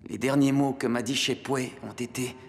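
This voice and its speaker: An actor voicing an earnest, conflicted teenage boy hero in an animated series, intense and insistent. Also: medium pitch, normal speed, lightly acted. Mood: defiant, conflicted. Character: earnest, conflicted teenage prince searching for father. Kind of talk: dubbed animated series acting. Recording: long reverb, faint music behind.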